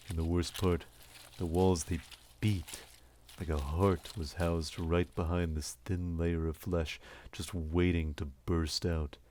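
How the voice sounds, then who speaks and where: A man's voice: low voice, darkish tone, no echo. An actor playing a hard-boiled, world-weary detective who narrates his own nightmare in a terse, wry audio drama style. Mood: grim, tense.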